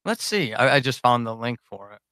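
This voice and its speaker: A man's voice: mid-range voice, sing-song, brisk, sharp tone, no room sound, studio quality. A man chatting casually and unscripted to his supporters on a livestream, rambling, informal and self-deprecating as a podcast host.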